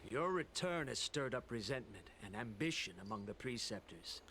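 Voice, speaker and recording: A man playing a stern, formal messenger in a video game, speaking in a measured, grave way. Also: mid-range voice, lively intonation, bright, forceful tone, a little hiss or hum. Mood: stern warning. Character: male Vidyadhara of an alchemy commission, stern messenger giving warnings. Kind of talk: video game dialogue acting.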